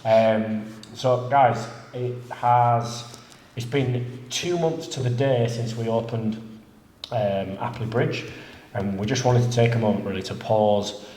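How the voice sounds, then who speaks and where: A man's voice: mid-range voice, slow pace. A man with a cold and a slightly nasal voice, chatting casually as a jiu-jitsu coach about opening a new gym. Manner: relaxed, rambling, many fillers. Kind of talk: casual chat. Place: inside a martial arts gym. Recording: echoey room with faint noise.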